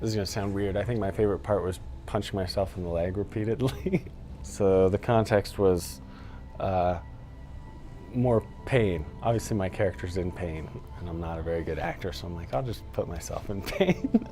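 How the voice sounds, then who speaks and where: A man's voice: deep voice, normal speed, dark tone, soft background music. A man chatting casually in a documentary interview as an amateur actor.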